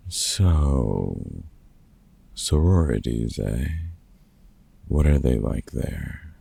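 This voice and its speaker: Casting: gruff older vampire hunter played by a man, fatherly and wry, rock-bottom pitch, heavily husky, for comfort roleplay audio. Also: very animated, drawn-out words, dark tone, exaggerated voice. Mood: amused, teasing. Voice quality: very gravelly, husky. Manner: dry, teasing, hesitant with unfamiliar slang. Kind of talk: ASMR roleplay audio drama, one-sided conversation.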